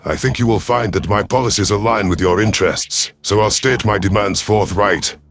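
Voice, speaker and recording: A man voicing a cold, calculating alien predator commander in a sci-fi audio story, imperious and menacing, with a rough husky voice. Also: on the low side, steady tone, normal speed, natural voice. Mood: imperious, threatening. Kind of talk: dramatic first-person sci-fi audio story.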